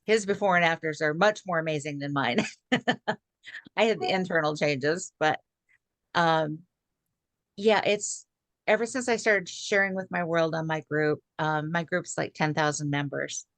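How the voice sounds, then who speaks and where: Low-voiced woman in her sixties, chatty. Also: a little slow, fairly bright tone, no room sound. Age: 60s. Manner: chatty, many "um" fillers. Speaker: guest speaker. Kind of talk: casual video call talk. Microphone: video call.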